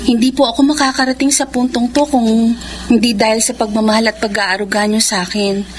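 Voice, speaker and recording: Actress as a confident young big sister, high voice, lively swings, reassuring, radio drama, noisy background. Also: female voice, a little fast, close to an everyday voice. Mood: earnest, grateful. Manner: reassuring, earnest, polite to elders. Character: caring, confident young adopted elder sister, working student. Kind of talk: Filipino radio drama acting.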